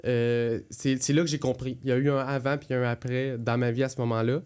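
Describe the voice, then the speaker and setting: A man speaks with a Quebec French accent in a casual podcast conversation. His voice sits at an average pitch, and he comes across as a candid co-host, sharing personal experience in loose, colloquial phrasing. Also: flat delivery, brisk, darkish tone, clear, resonant tone. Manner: candid, colloquial, acts out inner thoughts.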